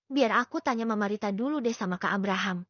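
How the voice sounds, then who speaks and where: A woman voicing a shy, polite but gently stubborn young woman in audiobook dialogue. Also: medium pitch, fast talker, dry recording, natural voice. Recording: dull lo-fi dry recording.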